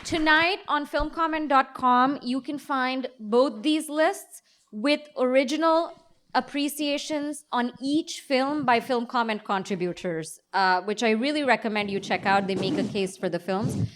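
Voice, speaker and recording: A woman with a fairly high voice co-hosts a live panel event. She talks conversationally as she presents to the audience. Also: unhurried, a bit bright. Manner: speaks to an audience. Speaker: co-host and magazine editor.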